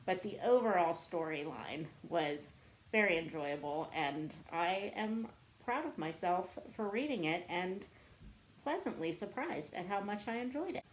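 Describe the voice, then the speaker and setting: A woman talks casually to camera as a book vlogger. Her voice sits a little low, and she takes her time with a slow delivery. She comes across as chatty and self-deprecating. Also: expressive, a little hiss or hum, rough recording. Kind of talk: casual chat to camera answering book tag questions.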